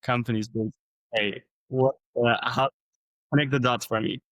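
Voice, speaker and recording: Chatty European podcast host, dry mic. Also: male voice, mid-range voice, steady tone, normal speed, a bit bright, no room sound, low-quality recording. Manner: chatty, curious questioning. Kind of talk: casual interview talk.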